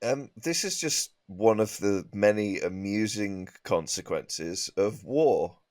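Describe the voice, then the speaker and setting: A man's voice: on the low side, expressive, slow pace, a little mellow, dry recording. A man, a comedian guesting on a panel, telling comic anecdotes in a dry, understated, hesitant way.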